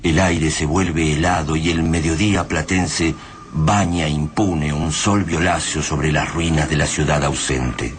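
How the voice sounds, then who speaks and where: Deep, solemn Argentine radio drama narrator played by a man. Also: fairly even intonation, a little slow. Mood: grave, ominous. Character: solemn male narrator of a science-fiction invasion tale. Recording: radio drama with clear background noise.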